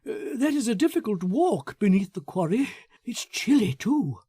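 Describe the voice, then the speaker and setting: Guy putting on a high thin nervous gent, fussy n polite, kind of querulous, audiobook character. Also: big pitch swings, normal speed, warm tone, husky, no room sound, studio quality, heavily acted. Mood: politely anxious.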